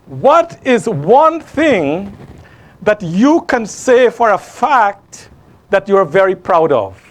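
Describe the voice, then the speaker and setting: Middle-aged Guyanese man, studio host, high voice, measured delivery with slow, weighty questions. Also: big pitch swings. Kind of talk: scripted monologue to camera. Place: small studio.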